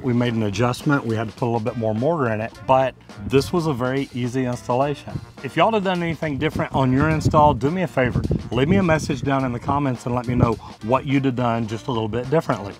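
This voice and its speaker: Folksy plumber, music behind. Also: male voice, mid-range voice, fast talker. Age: 50s to 60s. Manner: folksy, explanatory. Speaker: plumber and video host. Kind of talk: casual hands-on tutorial talk. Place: outdoors beside a brick house.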